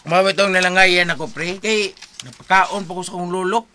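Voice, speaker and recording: A man's voice: high voice, fairly even intonation, normal speed, very noisy, close to an everyday voice. A man with a Cebuano Filipino accent playing a boastful drinking buddy spinning tall tales in a comedy skit.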